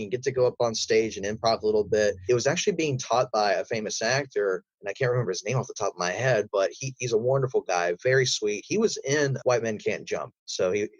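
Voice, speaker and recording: A slightly muffled, dry recording of a man with a mid-pitched voice. He talks as a podcast guest in a casual, storytelling way, with an animated manner. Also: dry recording. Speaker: podcast guest, voice actor and audio drama creator. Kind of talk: casual interview chat, storytelling.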